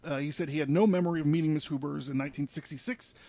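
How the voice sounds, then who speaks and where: A man's voice: fairly high pitch, steady tone, racing, dark tone, dry recording. A man presenting a podcast in a studio, reading news articles aloud with informal asides. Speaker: podcast presenter laying out a case.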